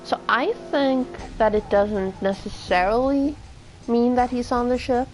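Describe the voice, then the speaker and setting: A woman's voice: on the high side, fairly even intonation, measured pace, a little mellow, faint music behind, low-quality recording. A woman whose first language is Swedish, casually chatting and thinking aloud while streaming a game. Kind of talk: casual let's-play commentary.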